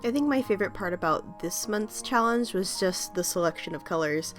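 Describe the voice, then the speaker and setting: A woman's voice: medium pitch, expressive, brisk, faint music behind. A woman hosting her art video, talking in a casual, rambling voice-over with many ums and small laughs, her voice still slightly scratchy from a cold.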